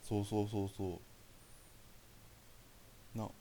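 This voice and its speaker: Guy playing a caring young kansai boyfriend, deepish voice, casual hesitant phone chat audio drama, bit of hiss. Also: little pitch movement, slow pace, mellow. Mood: concerned, sympathetic. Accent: Kansai Japanese. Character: caring young boyfriend reassuring his anxious girlfriend. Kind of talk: naturalistic one-sided phone-call roleplay audio drama.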